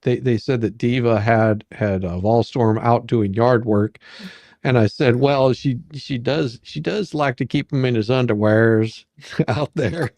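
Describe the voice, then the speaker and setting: A man's voice: medium pitch, unhurried, darkish tone, no echo. A man hosting a casual livestream chat, rambling and repeating himself as he talks. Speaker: livestream host.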